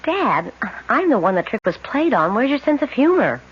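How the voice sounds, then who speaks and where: Brief: bright young daughter, lively pitch, dull old radio sound. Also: female voice, normal speed, slight background noise. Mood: breezy. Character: cheerful young woman, hypnotized daughter. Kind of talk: 1970s radio drama acting.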